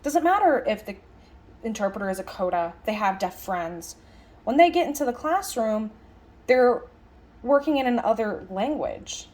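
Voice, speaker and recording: A woman voicing a lecture as an interpreter, conversational, speaking in halting phrases with stress on key words. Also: fairly high pitch, sing-song, a little mellow. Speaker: sign language interpreter voicing a signed lecture.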